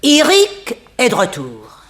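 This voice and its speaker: A woman playing a composed, unsentimental figure in a 1960s French radio mystery, telling things dramatically with weighted pauses. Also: a little high, wildly swooping pitch, a bit bright, exaggerated voice. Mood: dramatic. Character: composed, unsentimental woman recounting a family tragedy. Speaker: actress in a radio serial.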